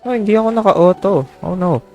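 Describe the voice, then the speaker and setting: A woman's voice: medium pitch, expressive, fast, mellow, faint music behind. A woman with a Filipino accent giving casual commentary as a game streamer.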